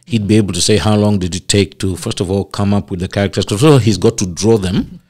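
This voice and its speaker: A man's voice: lowish voice, fast, a little mellow. A middle-aged man, an actor, talking expansively in a casual interview and acting out the voices of people he quotes. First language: not Swahili.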